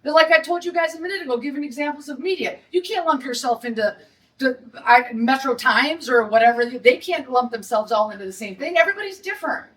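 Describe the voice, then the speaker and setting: A woman's voice: high-pitched, expressive, quick pace, fairly bright tone, distant mic, rough recording. A middle-aged woman from Detroit with a Detroit accent, a restaurant owner holding a blunt, indignant press conference.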